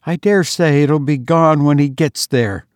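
A man's voice: fairly high pitch, a little slow, dry recording, studio quality, pitched above the performer's own voice, natural voice. A man reading aloud and voicing a shaken young man who tries to sound unconcerned. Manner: shaky, trying to sound unconcerned.

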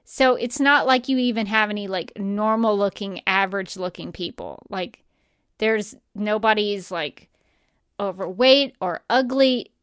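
A woman's voice: medium pitch, measured pace, fairly bright tone, clean tone, dry recording, studio quality. A woman co-hosting a podcast in a casual, chatty and emphatic way, often acting out imagined remarks. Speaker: podcast co-host. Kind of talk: casual chat.

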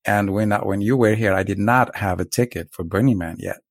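A man's voice: lowish voice, brisk, no echo, studio quality. A man from Morocco casually telling a couple's story, rambling and laid-back with lots of filler words.

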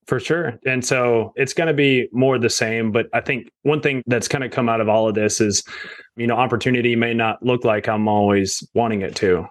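A man's voice: medium pitch, fairly even intonation, normal speed, dry recording. A man talking casually as a guest on a real estate podcast, leaning on fillers like "you know" and "right?".

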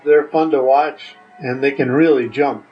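A man's voice: medium pitch, steady tone, a little slow, soft background music. Dull lo-fi recording of older man. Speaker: podcast guest. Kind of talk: answering interview questions casually.

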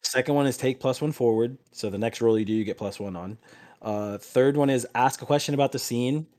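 A man's voice: mid-range voice, brisk, darkish tone. Casual man running a tabletop game. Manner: profane, informal. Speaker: game master.

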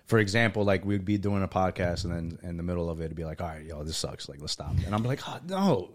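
A man's voice: low-pitched, animated, fast. A young man guesting on a podcast as a comedian, joking and laughing often in loose casual talk. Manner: joking, laughs a lot, mumbly fillers. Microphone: studio mic.

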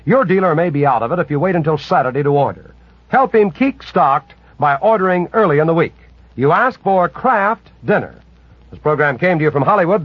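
A man's voice: a little high, slightly rough, no echo. A man reading a commercial as a 1940s radio announcer, on a muffled vintage recording.

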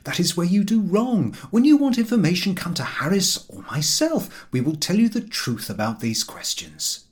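A man's voice: sits high, sing-song, moderate pace, hardly any pauses, voice pushed up. A man voicing a pompous, self-assured married man who lectures his friends in an audiobook, declaiming as he goes. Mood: condescending.

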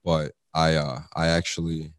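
Very deep young baritone man, chatting casually. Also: flat delivery, measured pace, no room sound, clean studio sound. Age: young adult. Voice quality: very low baritone. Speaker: rapper, interview guest. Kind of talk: casual chat.

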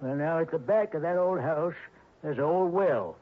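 A man's voice: on the high side, expressive, normal speed. An actor playing a scared, shifty old country man in a radio drama, with folksy, ungrammatical rural talk. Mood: uneasy, confiding. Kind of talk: 1960s radio drama acting. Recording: dull old radio recording.

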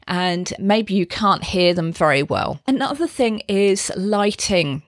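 A woman, slightly hoarse, hosting a chatty live video tutorial from her desk on a desk mic. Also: medium pitch, no echo, studio quality. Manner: chatty, explains step by step. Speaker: host. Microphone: desk microphone.

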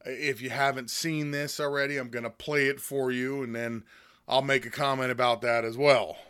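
Hoarse, indignant American man ranting. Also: medium pitch, flat delivery, normal speed, sharp tone, no room sound, studio quality. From United States. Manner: scornful, indignant. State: hoarse with a chest cold. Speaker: political commentary host. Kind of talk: opinionated monologue.

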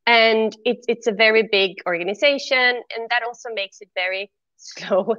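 A woman's voice: fairly high pitch, moderate pace, bright, forceful tone, no echo, highs cut off. A middle-aged woman from Sweden with a Swedish accent, a native Swedish speaker, answering interview questions candidly and earnestly in conversation. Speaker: interview guest, former corporate executive.

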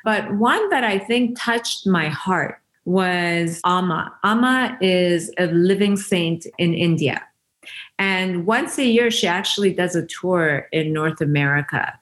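A woman's voice: fairly low pitch, slow pace, fairly bright tone. A woman from Iran whose first language is Farsi, telling stories earnestly and reflectively as an interview guest, with frequent restarts. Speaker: interview guest, founder of a women's giving circle. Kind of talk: conversational interview storytelling.

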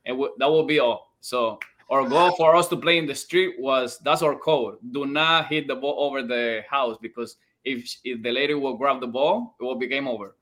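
A man in his thirties with a Colombian accent speaks at a middle pitch. He is a native Spanish speaker, and his phrasing is loose and informal. He tells personal stories as a guest on a casual podcast. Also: moderate pace, few pauses, a bit bright. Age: 30s. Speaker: podcast guest, former soccer player.

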